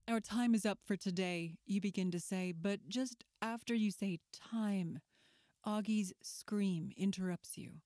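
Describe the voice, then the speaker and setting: A woman reading scripted horror film narration in a measured, clinical, detached manner. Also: medium pitch, mellow, no room sound, clean studio sound.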